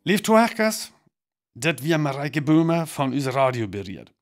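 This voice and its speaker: North frisian radio news anchor, serious urgent bulletin, bit high voiced, faint music under it. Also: male voice, sing-song, measured pace. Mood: grave, formal. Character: male radio newsreader reporting a grave disaster. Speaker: radio news announcer in a radio play.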